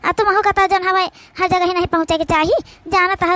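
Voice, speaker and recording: Cartoon village daughter-in-law played by a woman: extremely high pitch, Awadhi accent, brash, indignant, argumentative, very dry close sound. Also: flat delivery, fairly quick, pushed and bright, no echo. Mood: complaining. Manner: scolding, argumentative, rustic. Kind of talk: cartoon comedy dialogue.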